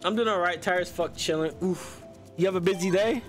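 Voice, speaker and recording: A woman in her twenties playing a kart racing game with friends, chatty and cheering loudly, greeting viewers in chat. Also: lowish voice, fairly quick. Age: 20s. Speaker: co-player. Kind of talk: casual gaming chat. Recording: game music behind.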